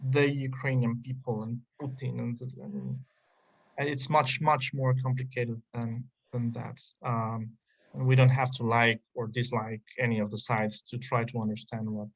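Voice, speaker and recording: A man's voice: medium pitch, rough recording. A man giving an academic lecture and answering audience questions, with frequent fillers and restarts, heard over a video call. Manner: hesitant, many restarts and fillers. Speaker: guest lecturer. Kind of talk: academic talk and Q&A. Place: online conference call.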